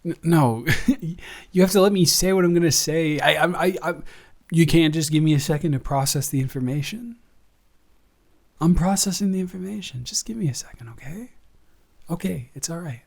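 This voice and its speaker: A young man playing a devoted, flustered roommate in an intimate, improvised audio roleplay, speaking in a halting, rambling, affectionate and teasing way. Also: on the high side, gravelly, darkish tone.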